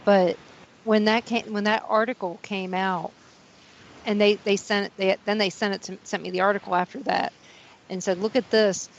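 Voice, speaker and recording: A woman with a low voice hosts a paranormal talk radio show, telling long rambling stories with a chuckle here and there. The sound is dull and lo-fi, with a faint hiss underneath. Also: level tone, normal speed.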